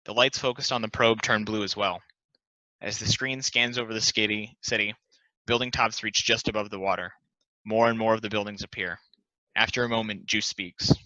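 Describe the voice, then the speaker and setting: Bright, forceful man reading stage directions. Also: lowish voice, fairly even intonation, moderate pace, dry recording. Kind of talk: scripted narration of stage directions at a table read. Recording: dull, lo-fi close dry recording.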